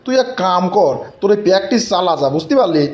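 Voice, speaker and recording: A man acts out an older village man in a comic cartoon. His voice is high and the words come quickly, with emphatic, bossy phrasing and a rural Bangladeshi accent. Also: expressive, a little mellow. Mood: bossy advising. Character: scolding, hot-tempered village elder man.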